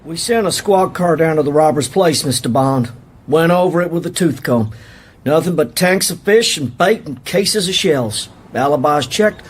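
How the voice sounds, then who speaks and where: A man's voice: a little high, lively intonation, moderate pace, husky. A man playing a brash, matter-of-fact American police detective in a radio drama, with an American accent.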